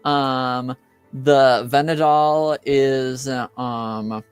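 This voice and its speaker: A man's voice: medium pitch, steady tone, very slow, clear, resonant tone, no echo, soft background music. A man running a tabletop roleplaying game as game master, thinking aloud with hesitant, unscripted narration.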